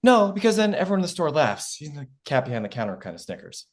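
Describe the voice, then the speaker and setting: A man's voice: on the high side, big pitch swings, quick pace. A man playing an earnest, self-conscious young history teacher in a sitcom table read, fussy and protesting. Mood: flustered.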